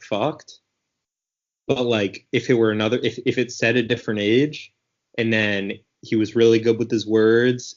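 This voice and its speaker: Man, casual podcast chat, hesitant, many fillers, muffled lo-fi sound. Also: fairly low pitch. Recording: dull lo-fi recording.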